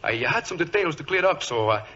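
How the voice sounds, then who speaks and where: High-voiced, hesitant office clerk. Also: male voice, big pitch swings, fairly quick, bright, forceful tone, breathy edge, full character voice. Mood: deferential, hesitant. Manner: hesitant, polite. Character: deferential office clerk, eager to stay. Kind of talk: 1940s radio acting. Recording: muffled vintage radio recording, strong noise.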